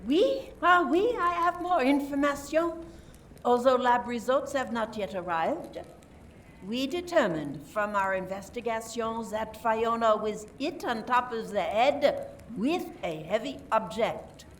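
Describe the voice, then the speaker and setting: A woman acting in a radio play as a guarded French police inspector, measured and authoritative. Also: on the high side, animated. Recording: distant mic, noisy stage recording.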